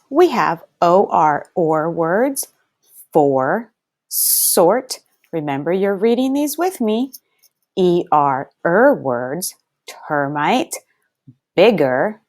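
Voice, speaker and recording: A woman speaks as a first-grade teacher leading a read-aloud lesson. Her pitch moves in lively swings while her pace stays slow. She places each word deliberately and talks straight to the children with encouragement. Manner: deliberate enunciation, addressing children directly.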